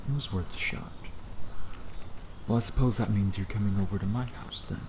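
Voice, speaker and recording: A man playing a sardonic, teasing vampire in an intimate ASMR roleplay, with a low, gravelly voice and an unhurried, predatory manner. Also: background noise, close to an everyday voice. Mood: smug, flirtatious. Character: teasing, sardonic male vampire, a century old, youthful predator.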